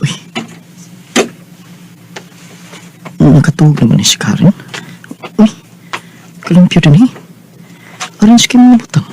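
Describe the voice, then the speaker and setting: A man's voice: sits high, very animated, moderate pace, mellow, a little hiss or hum. An actor playing a kind, attentive man in a radio drama, speaking expressively and conversationally with a Cebuano Filipino accent. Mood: gentle, observing. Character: Robin, kind, attentive man hosting and admiring a woman friend.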